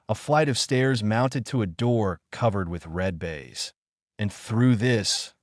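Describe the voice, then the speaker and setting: A man narrating a dramatic audiobook in a measured, formal literary manner. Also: lowish voice, no room sound, clean studio sound.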